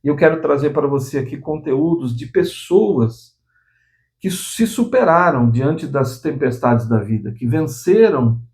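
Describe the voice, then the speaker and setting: A man's voice: on the high side, expressive, measured pace, a little mellow. A man in his sixties hosting his own channel, giving an earnest motivational talk to the viewer, often restarting phrases and adding tag questions. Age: 60s. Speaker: channel host.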